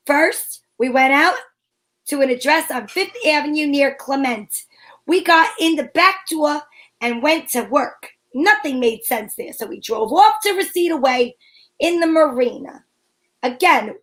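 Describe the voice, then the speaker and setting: High-voiced sassy lady detective, acted by a woman. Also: fairly bright tone. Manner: wisecracking, coy, teasing. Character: sassy, flirtatious female private detective narrating her case. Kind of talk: old-time radio detective parody.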